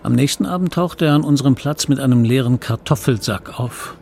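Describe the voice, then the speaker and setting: A man's voice: medium pitch, brisk, gravelly, a little mellow, soft background music, close to an everyday voice. A man playing the narrator of a radio play, reflectively recalling his own boyhood with some regret. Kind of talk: scripted first-person narration in radio play.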